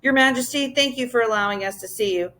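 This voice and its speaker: A woman's voice: on the high side, fast talker, sharp tone, voice pushed up. A woman voicing polite, curious children who speak respectfully in a children's story. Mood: polite, earnest. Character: polite, curious human children visiting royalty. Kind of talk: children's storybook read-aloud.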